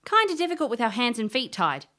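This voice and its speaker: An actress playing a sharp, wry woman investigator in a fantasy audio drama, inquisitive and plain-spoken. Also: on the high side, very animated, quick pace. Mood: wry, challenging.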